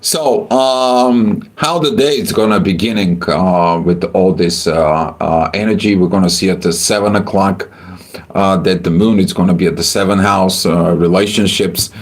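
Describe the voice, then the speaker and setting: A man from Bulgaria, hosting a livestream astrology forecast in a halting way with many filler sounds. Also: sits low.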